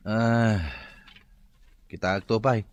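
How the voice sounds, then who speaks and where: A man's voice: fairly low pitch, steady tone, slow pace, no echo. A man with an Ilocano accent playing a sulky, jealous best friend in a radio drama.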